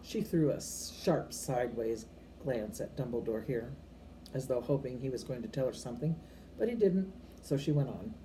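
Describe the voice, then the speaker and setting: Older woman reading aloud, very mellow low voice. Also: lively intonation, normal speed, a little hiss or hum, poor audio. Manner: informal, stumbles over words. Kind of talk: storybook read-aloud with expression.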